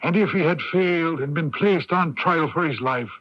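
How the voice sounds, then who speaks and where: An actor playing a forceful, witty older playwright who argues in deliberate rhetoric, in radio drama style. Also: male voice, a little high, close to an everyday voice. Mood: argumentative. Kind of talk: 1980s radio drama acting. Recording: dull lo-fi 1980s AM radio recording.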